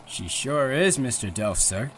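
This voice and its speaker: A man's voice: medium pitch, big pitch swings, a little slow, slight background noise, poor audio. An actor playing an eager, deferential orphan boy at a newspaper, polite and wide-eyed, in an old-time audio drama. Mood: eager, impressed.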